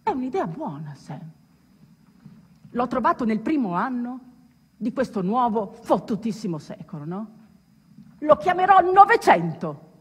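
A woman acting out an excitable, rough-mouthed old sailor in a stage monologue, with emphatic, drawn-out exclamations. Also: a little high, voice pushed up, heavily acted. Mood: proud, delighted. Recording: echoey reverberant hall, music underneath.

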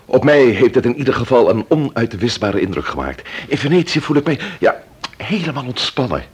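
An actor playing a composed male investigator in a radio drama, authoritative yet tender, with a husky voice. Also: medium pitch, sing-song, brisk. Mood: tender, coaxing.

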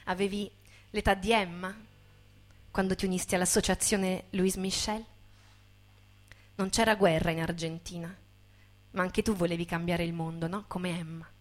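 A woman narrating a literary stage piece with deliberate, expressive phrasing. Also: on the low side. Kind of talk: dramatic literary narration for theatre. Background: guitar music.

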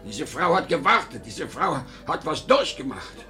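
Ageing, volatile salesman father, swinging pitch. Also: sing-song, normal speed, sharp tone, soft background music, full character voice. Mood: bitter, desperate. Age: older. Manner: volatile, emphatic, theatrical. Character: ageing salesman father, desperate, self-deluding, irritable. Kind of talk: 1950s German radio drama acting.

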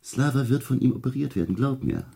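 An actor in a German radio drama playing a boastful ex-husband with a breezy, self-satisfied way of talking. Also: male voice, medium pitch, very animated, brisk, vocal fry, warm tone, breathy edge, full character voice. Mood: confidential boasting. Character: boastful, wheedling Russian ex-husband with connections.

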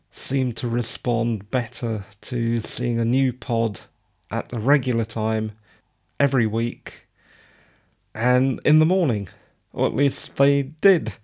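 A man with a slightly croaky voice, chatting casually and digressively as a podcast host. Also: mid-range voice, a little mellow. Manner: chatty, digressive, self-deprecating. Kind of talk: casual solo podcast talk.

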